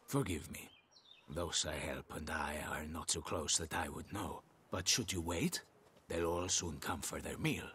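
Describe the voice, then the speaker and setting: An actor playing a kindly, patient older man who runs a poorhouse in a video game, speaking in a gentle, courteous, measured way. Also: a bass voice, slight background noise, full character voice. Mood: calm, courteous.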